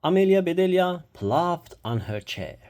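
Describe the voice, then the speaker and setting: Slow, animated storybook reader. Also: medium pitch. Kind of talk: animated children's storybook read-aloud.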